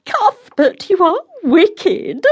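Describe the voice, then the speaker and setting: High-pitched shrill mother, gushing, meddling, loud, swooping, storybook. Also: huge pitch swings, unhurried, breathy edge, exaggerated voice. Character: gushing meddling upper-class mother, middle-aged.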